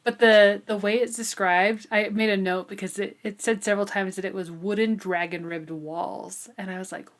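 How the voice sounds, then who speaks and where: A woman's voice: mid-range voice, fairly even intonation, moderate pace, few pauses. A woman with an American accent co-hosting a podcast, talking about a book in a chatty, amused, informal way. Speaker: podcast co-host. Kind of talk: casual book discussion.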